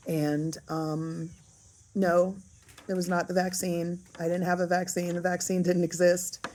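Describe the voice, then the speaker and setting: A woman with a raspy, hoarse voice talks through a casual video diary. Her voice is deep, dark and gravelly. She speaks at an unhurried pace and pauses often to gather her thoughts. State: raspy, hoarse voice after illness. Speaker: vlogger. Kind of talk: casual diary-style vlog.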